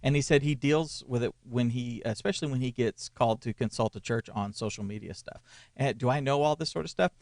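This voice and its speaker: A man's voice: medium pitch, fairly quick, gravelly, darkish tone, no echo, clean studio sound. A man in his forties co-hosting a casual ministry chat, rambling and restarting his sentences with small chuckles. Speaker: co-host, church media consultant. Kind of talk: casual conversational podcast.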